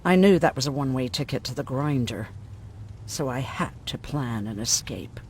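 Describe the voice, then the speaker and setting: A blunt, world-weary woman medic in a game drama. Her mid-pitched voice swings widely as she talks, sardonic and gruff, over a faint rumble. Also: expressive, moderate pace, a little mellow. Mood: grim recounting. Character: gruff, sardonic woman medic who survived a prison camp. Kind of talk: video game dramatic acting.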